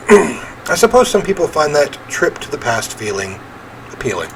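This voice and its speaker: A man reading visual novel narration aloud in an expressive storytelling way, with casual commentary. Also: fairly high pitch, unhurried, vocal fry, slight background noise, rough recording. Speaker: video game let's player.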